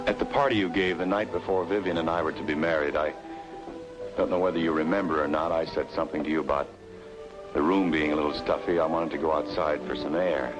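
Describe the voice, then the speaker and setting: A man's voice: rumbling low, fairly even intonation, fast, dull audio. An actor playing a wronged, obsessive younger man, speaking with insistent intensity in an old-time radio noir drama. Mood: wounded, confessional. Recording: old radio recording, faint music.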